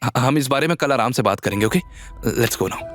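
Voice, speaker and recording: A man's voice: medium pitch, moderate pace, a bit bright, natural voice. A young captive woman, put on by a man, frightened but insistent. It is audio drama acting, with light music underneath. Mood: desperate pleading.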